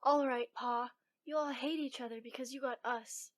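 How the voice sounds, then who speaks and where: Woman playing a plucky little girl, very high voice. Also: fairly even intonation, moderate pace, natural voice. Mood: hurt, pleading. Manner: plain, folksy, childlike. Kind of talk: radio drama acting.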